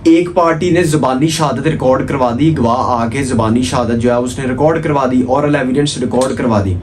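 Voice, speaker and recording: A man's voice: medium pitch, a little fast, darkish tone, slight background noise. A man with a Pakistani accent giving an informal explanatory law lecture, mixing in English terms and repeating key points in a didactic way.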